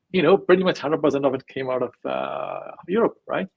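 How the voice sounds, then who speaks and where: Gravelly husky man, rambling. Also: on the high side, moderate pace, no room sound, phone-quality sound. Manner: digressive, filler-heavy, emphatic. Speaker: guest, crypto scientist. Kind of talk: casual interview talk.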